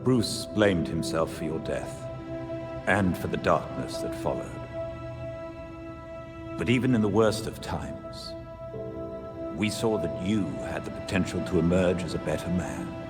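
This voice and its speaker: An actor playing a reflective old war veteran and fatherly mentor in a video game, speaking in a measured, earnest way. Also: sits low, animated. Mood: earnest, consoling. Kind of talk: video game cutscene acting. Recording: reverberant, loud music behind.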